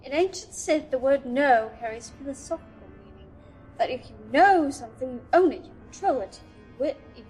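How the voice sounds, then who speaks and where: A woman's voice: high voice, lively intonation, a little fast, a little mellow, soft background music, low-quality recording. A woman playing a nervous, eager, scholarly archive curator in a sci-fi audio drama, stammering and deferential. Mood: scholarly excitement. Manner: nervous, stammering, deferential, bossy to her assistant. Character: flustered, eager scholarly female archive curator serving a Sith. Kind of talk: fan audio drama acting.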